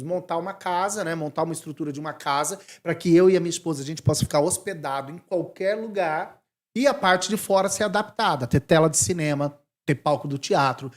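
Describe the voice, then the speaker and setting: A man from Brazil in his forties, a missionary talking casually in an interview, animated and thinking aloud with restarts. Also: fairly high pitch. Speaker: missionary guest.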